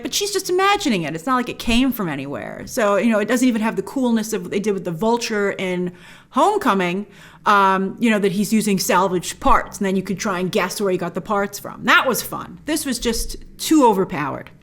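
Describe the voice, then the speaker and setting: A woman's voice: medium pitch, big pitch swings, fairly quick, fairly bright tone. A woman hosting a review, giving opinions in an emphatic, informal, conversational way. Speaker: film and game review show host.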